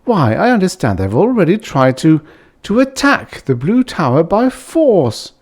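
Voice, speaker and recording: A man acts out a naive, priggish young man from a science fiction storybook. He speaks stiffly and earnestly in swooping, emphatic phrases, turning indignant and halting for the right word. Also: on the high side, huge pitch swings, darkish tone, pitched above the performer's own voice, full character voice. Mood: urgent.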